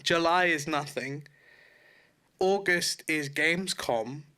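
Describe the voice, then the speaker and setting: Bright-voiced man chatting casually. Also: on the high side, slow. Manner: informal, sweary. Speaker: podcast co-host. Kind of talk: casual chat about video games.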